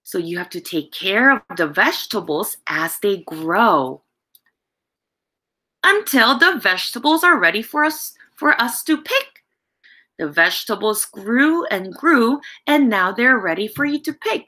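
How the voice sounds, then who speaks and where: A woman's voice: mid-range voice, very animated, unhurried, bright, forceful tone. A middle-aged woman reading a picture book aloud to young children as a teacher, explaining expressively and addressing them directly over a video call. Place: home room with bookshelves.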